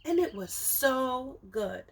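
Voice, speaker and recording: A woman teacher reading a picture book aloud to young children, expressively, with dramatic emphasis and drawn-out words, speaking to them directly. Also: high-pitched, expressive, gravelly. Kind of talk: animated children's storybook read-aloud.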